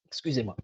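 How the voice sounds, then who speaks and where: A young man with a slightly hoarse, husky voice walks viewers through a webinar in a friendly, informal way. He hesitates often and restarts his phrases. The sound is dull and lo-fi, like a web call, and very dry. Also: mid-range voice, sharp tone, no room sound. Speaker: microfinance advisor presenting a webinar. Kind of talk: casual live webinar presentation.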